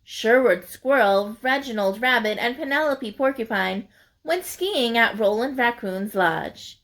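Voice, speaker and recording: A woman's voice: on the high side, expressive, a little slow, fairly bright tone. A woman reading a picture book aloud like a teacher, in a sing-song, explaining way for young listeners.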